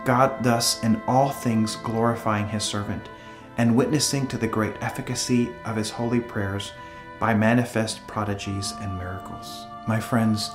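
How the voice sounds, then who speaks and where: A man speaks in an earnest, reverent and unhurried way as he hosts devotional videos, shifting between personal storytelling and reading aloud. His voice sits at an ordinary middle pitch. Soft music plays faintly behind him. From El Salvador.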